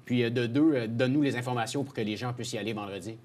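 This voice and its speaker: A man's voice: medium pitch, rapid-fire, a little mellow. A man with a Quebec French accent, hosting a sports show and asking questions informally. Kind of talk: informal interviewing.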